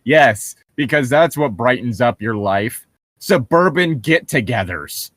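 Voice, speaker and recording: A man reads a story aloud and breaks off for sarcastic jabs and swearing. His mid-pitched delivery is highly animated, rising and dropping freely, and the sound is dry and close. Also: measured pace, dry recording. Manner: sarcastic, profane. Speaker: co-host reading a story aloud for mockery. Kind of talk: story reading with joking commentary.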